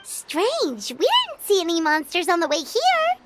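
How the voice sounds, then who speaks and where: Very squeaky, excitable little fairy. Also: female voice, a little slow, very noisy, exaggerated voice. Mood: puzzled, uneasy. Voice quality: squeaky, childlike. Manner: speaks of herself in third person, exclamatory. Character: Paimon, tiny childlike floating fairy companion, chatty, excitable. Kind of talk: video game voice acting. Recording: loud jingly game music behind.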